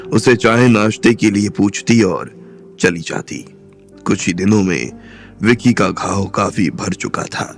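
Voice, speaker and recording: Deep gravelly guy narrating a story, steady, music under. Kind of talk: audiobook narration. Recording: soft music bed underneath.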